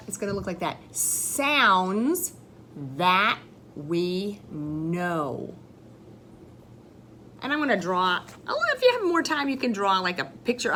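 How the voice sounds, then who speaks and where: Slow, lively-voiced woman teacher. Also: mid-range voice, slight background noise. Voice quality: loud voice. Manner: slow, sing-song teacher talk addressing children. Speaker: first grade teacher. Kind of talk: recorded classroom lesson for children.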